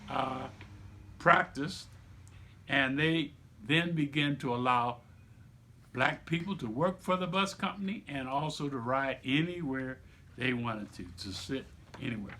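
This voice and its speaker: A man reading aloud to children like a teacher, with deliberate, emphatic phrasing and explanatory asides. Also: medium pitch, sharp tone. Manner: deliberate, emphatic phrasing with repetition for young listeners.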